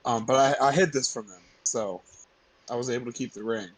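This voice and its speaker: A man playing a tabletop game, chatting casually, halting and correcting himself, sometimes swearing. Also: mid-range voice, low-quality recording. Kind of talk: casual in-character game chat.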